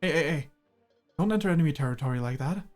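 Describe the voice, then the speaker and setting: A man's voice: on the high side, animated, fast talker, faint music behind. A young man from Germany, a native German speaker with a slight British-American mix in his English, chatting casually and hesitantly while streaming a game. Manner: rambling, hesitant, laughs often. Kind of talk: casual gameplay chat.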